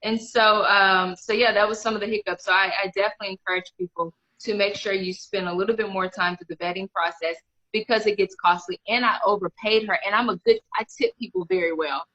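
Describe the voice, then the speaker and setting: Bright-voiced woman on a lo-fi call. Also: mid-range voice, steady tone, a little fast. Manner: candid, rambling, many restarts. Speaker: guest author. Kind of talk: casual interview chat. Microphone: video call.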